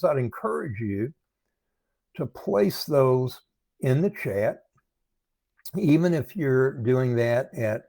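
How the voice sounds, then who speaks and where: A man hosting a casual livestream, talking informally and haltingly straight to viewers, with a voice strained by throat trouble. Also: medium pitch, darkish tone, no room sound. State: struggling with throat and voice problems. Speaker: live stream host, recovery coach.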